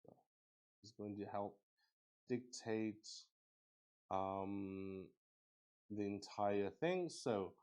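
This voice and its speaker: A man thinking aloud as he plays and explains a solo roleplaying game on stream, halting and often searching for words. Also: on the low side, darkish tone, clear, resonant tone, low-quality recording.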